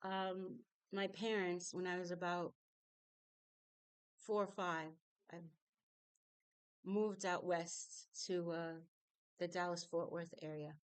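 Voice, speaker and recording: A middle-aged woman from New York telling her childhood story, halting and reflective, with frequent fillers. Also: lowish voice, flat delivery, smooth, no echo. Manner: reflective, halting with fillers, laughs through painful memories. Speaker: singer. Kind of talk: personal storytelling.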